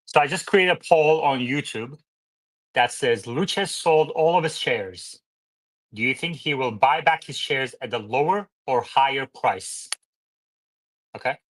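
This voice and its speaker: A man's voice: mid-range voice, measured pace, fairly bright tone, rough recording. A man hosting a live stream discussion, speaking informally and thinking aloud as he moderates. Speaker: host.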